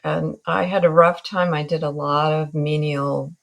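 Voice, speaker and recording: Slow, low-voiced woman in her sixties. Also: steady tone, gravelly. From Northern California. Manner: reflective, wry, laughs at herself. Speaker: guest artist. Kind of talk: relaxed interview talk.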